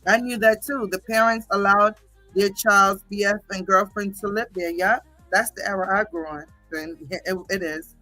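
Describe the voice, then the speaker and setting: A middle-aged woman, chatting casually and telling stories as a livestream host, sassy and slangy with drawn-out emphasis. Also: medium pitch, fairly even intonation, pushed and bright, no room sound, faint music behind, poor audio. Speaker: livestream host reading viewer comments.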